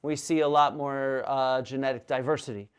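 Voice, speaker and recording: Guy hosting an online chat, mid pitch, rambly and laughing a lot. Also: fairly even intonation, normal speed, smooth. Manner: chatty, laughs easily, stumbles and restarts. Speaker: host. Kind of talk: casual interview.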